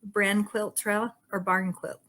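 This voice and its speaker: An upbeat woman with a mid-range voice co-hosts a quilt shop video in a relaxed, chatty way. She often chimes in with quick, agreeable reactions. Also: mid-range voice. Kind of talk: casual show-and-tell chat.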